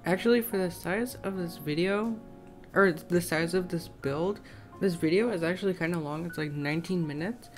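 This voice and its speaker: A woman's voice: on the low side, normal speed. A young woman with a slightly scratchy voice giving casual, tentative, rambling voiceover commentary on a game build. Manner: rambling, self-conscious, tentative. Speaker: gaming video creator. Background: soft plucked guitar music.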